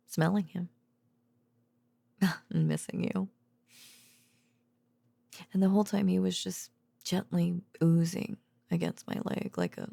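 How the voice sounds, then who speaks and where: Woman acting a sleepy, creaky-voiced, doting girlfriend. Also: medium pitch, no room sound, exaggerated voice. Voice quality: soft, breathy murmur dipping into whispers and creak. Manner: hesitant, rambling, giggly, tender. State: drowsy. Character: affectionate, sleepy, bashful girlfriend, a researcher home from work. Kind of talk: intimate bedtime ASMR roleplay. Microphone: close microphone, dry.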